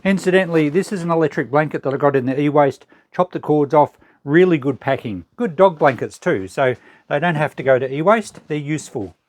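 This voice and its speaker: A man's voice: a little high, a little fast. Musing Australian man, casual vlogger. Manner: musing, thinking aloud. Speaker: vlog host. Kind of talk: casual narrated walkthrough of a shed clean-out.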